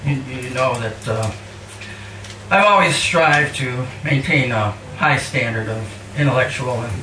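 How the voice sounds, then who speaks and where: An older man reading poetry live and chatting between pieces with wry, mock-highbrow humour. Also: a little slow, pushed and bright. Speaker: featured poet at a reading. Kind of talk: live poetry reading with casual rambling introductions. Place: indoor cafe poetry reading. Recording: echoey room, distant mic, hissy noise.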